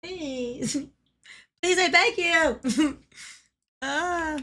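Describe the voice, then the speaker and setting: Giggly young woman streamer, slightly hoarse. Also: high-pitched, very slow, sharp tone. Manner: giggly, rambling, filler-heavy. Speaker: livestreamer. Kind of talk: casual chat while gaming.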